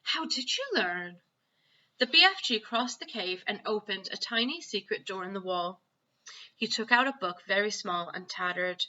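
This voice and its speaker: A woman primary teacher reads a children's story with expression. Her voice is very bright and forceful at a middle pitch, recorded with a slightly dull lo-fi sound.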